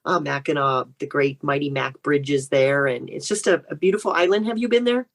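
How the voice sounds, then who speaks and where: A woman's voice: low-pitched, brisk, creaky. An American woman speaking as a guest novelist in a casual interview, conversational and explanatory.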